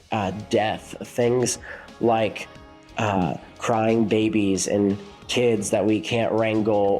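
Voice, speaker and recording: Young worship leader, sick and stuffed up, low voice, loose reflective vlog delivery. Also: male voice, darkish tone. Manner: rambling, many fillers and restarts. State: congested, sick.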